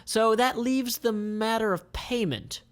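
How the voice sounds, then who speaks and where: A man's voice: high-pitched, unhurried, clean tone, pitched above the performer's own voice. A man voicing a scheming commander in a comic, speaking in an emphatic, theatrical way. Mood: skeptical. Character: scheming commander plotting to capture fleeing royals.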